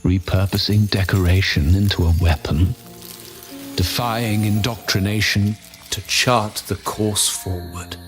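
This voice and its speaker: A man voicing a stern, commanding patriarch of a powerful family in solemn video game dialogue. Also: low voice. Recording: music behind the voice.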